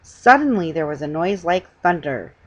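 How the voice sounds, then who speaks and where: A middle-aged woman reads a children's storybook aloud with a husky voice, stumbling now and then and correcting herself. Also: deep voice, very animated. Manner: halting reading with stumbles and self-corrections. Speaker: reader. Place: small living room at home, indoors. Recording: dull lo-fi webcam sound.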